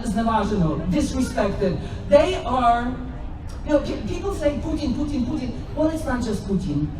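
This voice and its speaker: A woman born in Poland giving an impassioned, sarcastic rally speech outdoors. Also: high voice. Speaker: rally speaker. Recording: roomy, distant, loud crowd.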